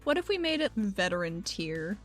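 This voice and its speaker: American woman chatting on a tabletop game podcast, very informal, normal pitch, some music under it. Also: sing-song, moderate pace. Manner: informal, reactive, swears freely. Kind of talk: casual banter.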